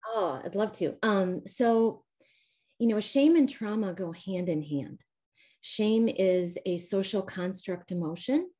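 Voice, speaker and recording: A woman from the American Midwest with a Midwestern accent mixed with Southern, calmly explaining her coaching work as an interview guest over a video call. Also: medium pitch, slow pace. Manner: calm, explanatory, frequent um and you know. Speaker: trauma recovery coach, guest. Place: home, calling in remotely.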